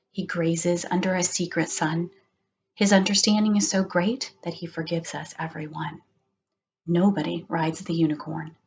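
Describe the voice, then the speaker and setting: A woman voicing a quiet, gentle beggar girl in a storybook, with a soft, sweet, lilting delivery. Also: fairly low pitch.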